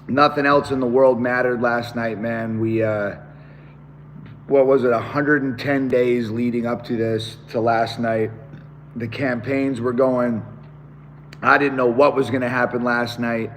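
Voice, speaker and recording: A man with a hoarse, worn-out voice, chatting excitedly to viewers on a live stream. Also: mid-range voice, a little slow, long reverb tail, slight background noise. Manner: excited, talks straight to viewers. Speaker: musician hosting a live stream. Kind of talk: casual live-stream chat.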